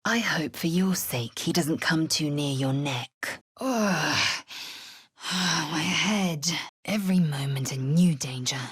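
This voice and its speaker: An actress playing a stern, proud, impatient alien warrior woman in a video game, husky and clipped in delivery. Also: deep voice, takes it slowly, no echo, studio quality, heavily acted. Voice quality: husky, raspy. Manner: clipped, haughty, commanding. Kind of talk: video game character acting.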